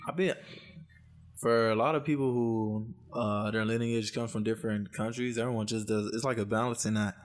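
A man's voice: on the low side, steady tone, moderate pace. A young man musing in a casual chat with friends, mostly chiming in briefly.

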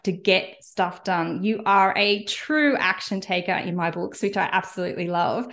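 Woman podcast host, mid-pitched, effusive, enthusiastic interviewer.

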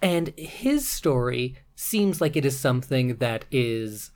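A woman's voice: very deep voice, animated, slow, a little mellow. A woman with a Canadian accent, co-hosting a casual podcast chat in a frank way, swearing now and then. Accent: Canadian English. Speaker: podcast co-host.

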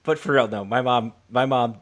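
A man co-hosting a sports podcast, chatting informally and haltingly, often repeating and restarting his phrases. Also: medium pitch, a bit bright, a little hiss or hum. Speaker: podcast co-host. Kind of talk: casual sports podcast chat.

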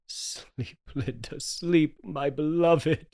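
A man's voice: a little high, drawn-out words, a little mellow. A man playing a frantic, guilt-ridden husband who dotes on his wife in a melodramatic, pleading horror radio play. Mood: tender, weary. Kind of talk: 1930s horror radio play table read.

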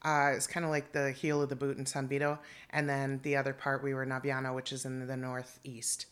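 A woman's voice: very deep voice, monotone, a little fast, smooth. A middle-aged woman, a guest on a podcast, chatting casually about her life and laughing often. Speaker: podcast guest, dance and theater teacher. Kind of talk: casual interview chat.

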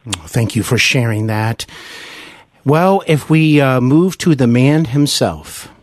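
A man hosting an earnest interview, speaking deliberately and effusively as he builds toward his questions. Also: medium pitch, dry recording, studio quality. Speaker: podcast host, interviewer. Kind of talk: earnest long-form interview.

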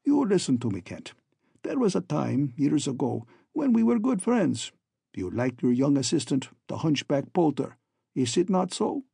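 A man's voice: a little high, lively intonation, unhurried, vocal fry, mellow, breathy edge, dry recording, clean studio sound, exaggerated voice. A man voicing a falsely friendly, scheming hunchback villain in a story, oily and ironic, with stilted foreign-sounding phrasing. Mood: ironic good humor.

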